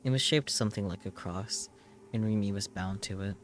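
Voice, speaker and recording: A man reading a visual novel aloud as dramatic first-person narration, solemn and measured, in short halting phrases. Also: fairly low pitch, faint music behind.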